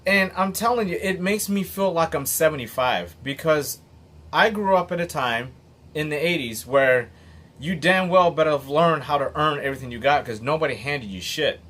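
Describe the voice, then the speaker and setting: A man in his late thirties speaks straight to the camera in an unscripted motivational monologue. His voice is a bit husky and sits slightly high for a man. He talks bluntly and emphatically, and a swear word slips out now and then. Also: moderate pace, fairly bright tone. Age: 30s. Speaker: motivational vlogger.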